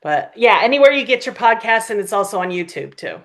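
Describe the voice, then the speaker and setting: A woman chatting casually as a podcast guest, telling anecdotes in a breezy, animated way. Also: mid-range voice, brisk. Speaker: podcast guest, audio drama creator. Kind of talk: casual chat.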